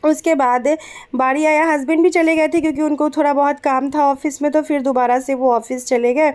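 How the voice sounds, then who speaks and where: Soft-spoken young woman with a sore throat. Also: high voice, steady tone. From Bihar, raised in Kolkata. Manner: chatty, soft and unhurried, many fillers. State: sore throat, speaking gently. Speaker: vlogger. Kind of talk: casual vlog voice-over.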